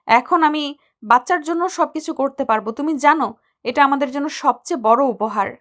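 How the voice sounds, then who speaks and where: A woman acts out a sweet young wife and new mother in a romantic audio drama. Her voice is high, and she speaks with loving, affectionate tenderness. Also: moderate pace, fairly bright tone. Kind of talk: romantic audio story acting.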